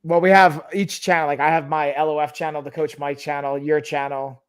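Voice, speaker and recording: Casting note: relaxed, chatty man, fitness-coach host on a live stream. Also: a little high, brisk, smooth. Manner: laid-back, talkative. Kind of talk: casual livestream chat.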